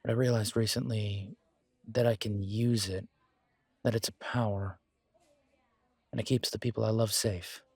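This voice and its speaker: A man playing a wry, self-deprecating alpha werewolf in an audio drama, with a sardonic, measured delivery. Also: lowish voice, steady tone, a little mellow, breathy edge, no room sound. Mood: reflective. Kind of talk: scripted audio roleplay drama.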